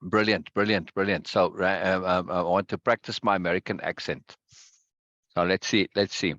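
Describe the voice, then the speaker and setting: A man hosting a casual video-call chat, talkative and quick to repeat himself and laugh. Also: low voice, steady tone, a bit bright, no echo, highs cut off. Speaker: meeting host.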